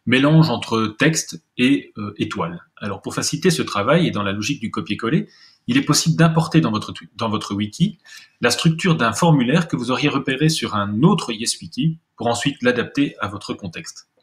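Man explaining a software tutorial. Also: mid-range voice, animated, moderate pace. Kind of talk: screencast tutorial, explaining step by step.

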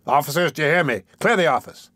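A man performs a pompous, bullying magistrate from a classic storybook. He barks his orders with irritable contempt and pushes his voice up in pitch, in a very dry recording. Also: lively intonation, a little fast, fairly bright tone, no echo. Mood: indignant, barking. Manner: peremptory, barking, contemptuous.

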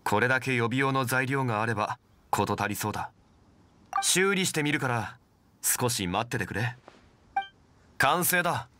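Game role, male actor as calm scholarly gentleman, polite and measured, crisp bright timbre. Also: medium pitch, a little hiss or hum, lightly acted. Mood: composed. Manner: composed, formal, measured. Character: calm, refined, erudite gentleman adventurer. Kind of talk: Japanese game voice acting.

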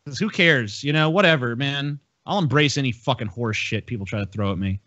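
A man's voice: mid-range voice, fairly quick, pushed and bright, no echo. Blunt American man, dull dry sound. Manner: profane, blunt. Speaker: podcast host. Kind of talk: casual chat, ranting banter. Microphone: close podcast microphone on a video call.

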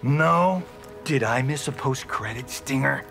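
A man's voice: mid-range voice, measured pace, vocal fry, loud music behind the voice. A man voicing a cocky movie star fighter in a video game, flippant and casual. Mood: flippant bragging.

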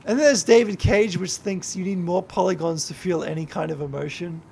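Drunk, gravelly high-voiced young streamer. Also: male voice, normal speed, a little hiss or hum. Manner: rambling and heavy swearing, yelling at the game. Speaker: YouTuber and streamer. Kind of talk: gameplay livestream commentary.

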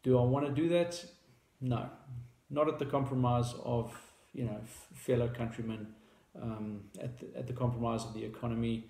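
A man's voice: medium pitch, fairly even intonation, moderate pace, vocal fry, warm tone, reverberant. A man in his thirties with a South African accent, a company founder explaining a product in casual talk to camera, hesitant with many fillers and restarts. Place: small indoor room at a table.

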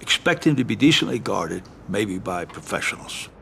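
Low-voiced, composed mob advisor. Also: male voice, big pitch swings, moderate pace, vocal fry, fairly bright tone, a little hiss or hum, exaggerated voice. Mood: cautious, matter-of-fact. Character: composed, careful male mob lawyer and advisor. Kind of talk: scripted video game cutscene acting.

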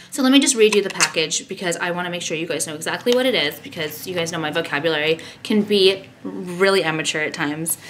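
A woman's voice: on the low side, a bit bright. A woman in her thirties chatting casually to camera in her bedroom, rambling and self-deprecating as she shows a skincare product. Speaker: vlogger. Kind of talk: casual vlog chat with product talk. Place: bedroom at home, indoors. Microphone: camera at arm's length.

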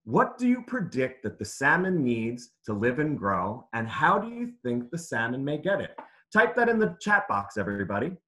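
A man's voice: mid-range voice, huge pitch swings, normal speed, bright, forceful tone. A man reading aloud to children as a teacher would, in simple phrases, putting questions to his listeners. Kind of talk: interactive children's read-aloud lesson.

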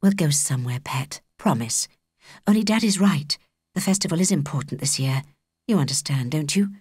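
Woman reader as soothing British mother in children's fiction, gentle, coaxing, low-ish voice, dry recording. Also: animated, moderate pace, breathy edge, no echo. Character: kindly, soothing little mother, vicar's wife.

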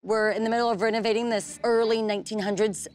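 A woman's voice: a little high, fairly even intonation, quick pace, a little mellow. A woman co-hosting a home renovation show, talking through a house in a casual, upbeat, decisive way. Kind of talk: casual on-site chat and narration. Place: house under renovation, indoors.

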